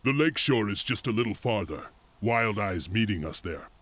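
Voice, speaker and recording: A man's voice: lowish voice, animated, moderate pace, fairly bright tone. A man playing a stoic, determined warrior lord in a fantasy game, speaking in a grave, decisive manner. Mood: reassuring.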